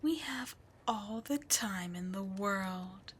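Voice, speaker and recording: A scheming, seductive demon queen, played by a woman in an audio drama. She speaks slowly and teasingly, letting her taunts linger and slipping into breathy whispers. A faint ambient hum sits behind her voice. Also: mid-range voice, animated. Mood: taunting.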